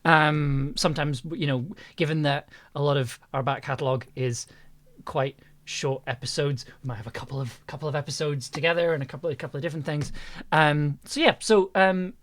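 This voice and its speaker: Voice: mid-range voice, steady tone, a little fast. A livestream host chatting casually and a little hesitantly, often repeating phrases while wrapping up a show. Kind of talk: casual chat, closing out a livestream.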